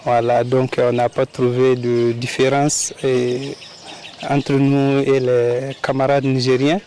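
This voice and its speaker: A man answering an interview in a plain, steady conversational way. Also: mid-range voice, takes it slowly, slight background noise.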